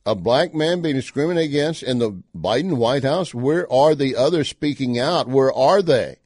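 A man's voice: medium pitch, expressive, moderate pace, darkish tone, no room sound, studio quality. A Texan man hosting an opinion talk show, commenting indignantly with emphatic questions. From Texas.

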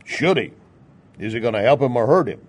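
A man's voice: mid-range voice, expressive, a little fast. Folksy American man hosting talk radio. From Texas, United States. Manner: folksy, joking asides, rhetorical questions. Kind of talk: conversational political radio commentary.

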